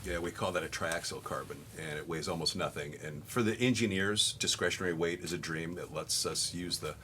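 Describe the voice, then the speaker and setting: Very deep-voiced man explaining products. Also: fairly quick, sharp tone. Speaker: golf brand representative. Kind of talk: casual product talk. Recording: noticeable background noise.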